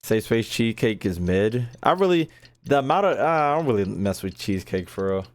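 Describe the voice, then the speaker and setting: A man's voice: fairly low pitch, sing-song, brisk. An American man giving casual livestream game commentary, joking and swearing in loose slang. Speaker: game streamer. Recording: game music behind the voice. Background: video game music and dialogue.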